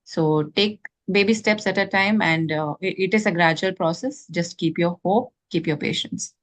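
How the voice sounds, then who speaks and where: A woman interviewing a learner and giving clear, encouraging advice on learning English. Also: on the low side, moderate pace.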